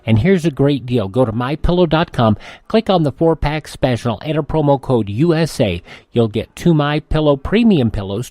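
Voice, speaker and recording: A man reads a scripted radio ad in a medium-pitched voice. The sound is very dry, and he sells directly, repeating himself for emphasis. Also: warm tone, no echo. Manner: direct, repetitive selling. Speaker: advertising pitchman.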